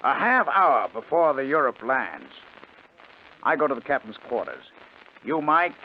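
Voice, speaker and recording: Scheming radio gangster, wildly varied pitch. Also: male voice, big pitch swings. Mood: deliberate, scheming. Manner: insistent, deliberate, confident. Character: scheming, persuasive male gang leader, coolly ruthless crook. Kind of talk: 1950s radio drama acting, also narrating in first person. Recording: muffled, noisy 1950 radio recording.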